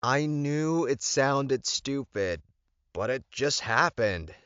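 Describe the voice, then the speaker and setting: A defensive werewolf alpha pleads his case, acted by a male audiobook narrator. His pitch is lifted and his pace is slow, in a dry recording. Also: expressive, fairly bright tone, clear, resonant tone, dry recording, muffled recording.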